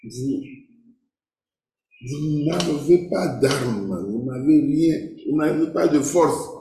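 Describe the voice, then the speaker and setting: A man's voice: fairly high pitch, lively intonation, extremely slow, mellow, slight background noise. A man preaching a sermon in French, emphatic and repetitive, quoting scripture and asking rhetorical questions. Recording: echoey, reverberant room.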